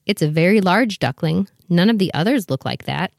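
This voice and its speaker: A proud mother duck from a storybook, played by a woman, with a slightly low female voice. She is bustling and protective, giving motherly instruction in a dry, echo-free sound. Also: lively intonation, moderate pace, creaky, darkish tone. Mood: stubborn, musing. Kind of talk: full cast storybook dramatization.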